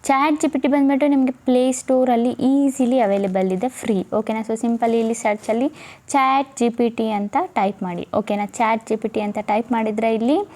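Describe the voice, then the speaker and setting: A woman's voice: on the high side, fairly even intonation, moderate pace, darkish tone. A woman presenting a casual step-by-step tutorial, instructing and often checking in with listeners. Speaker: tutorial host.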